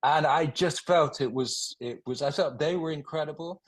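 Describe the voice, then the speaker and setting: A man who grew up in Uganda, a film director answering interview questions in an earnest, measured way. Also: a little high.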